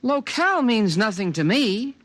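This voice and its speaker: A man's voice: high voice, very animated, normal speed, fairly bright tone. A man playing an earnest, fussy alien guardian in a 1950s radio drama, speaking in precise, formal, solemn pronouncements. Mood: urgent warning. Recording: vintage radio recording, faint music.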